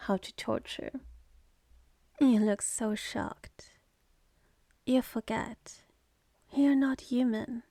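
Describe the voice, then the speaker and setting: A woman voicing a jaded, scornful, seductive demoness in an ASMR roleplay, with a sardonic manner that slips into breathy whispers. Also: fairly high pitch, dark tone, no room sound, studio quality. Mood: cold, scornful.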